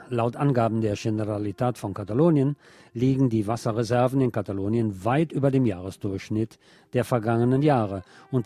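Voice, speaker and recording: Mellow-voiced man reading radio news. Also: medium pitch. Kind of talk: scripted radio news reading with wry commentary.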